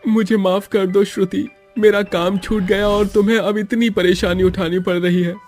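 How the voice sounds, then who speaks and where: Man acting a downcast husband, high for a man, apologetic, strained, storybook drama, faint music. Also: level tone, normal speed, darkish tone, natural voice. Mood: remorseful.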